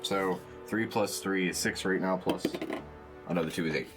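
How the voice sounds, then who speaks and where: Low-voiced man at a gaming table, music behind. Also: normal speed. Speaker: tabletop game player. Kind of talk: casual tabletop roleplay chat.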